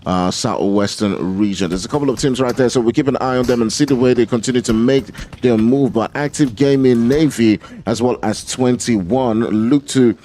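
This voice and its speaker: A man's voice: mid-range voice, dark tone, slight background noise. A man with a Nigerian accent doing live esports commentary, laid-back and joking. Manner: laid-back, joking, fills pauses with hums. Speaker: esports caster.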